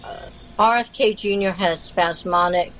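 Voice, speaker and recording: A woman's voice: medium pitch, unhurried, fairly bright tone, poor audio. A woman in casual chat, explaining at length with many restarts, her voice faltering from a vocal condition.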